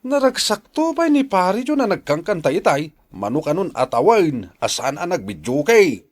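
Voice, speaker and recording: A man playing a sociable, easygoing husband in an Ilocano audio drama, genial and expressive, with an Ilocano accent. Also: high-pitched, moderate pace, no echo. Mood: earnest. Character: sociable, easygoing husband who loves neighborly company.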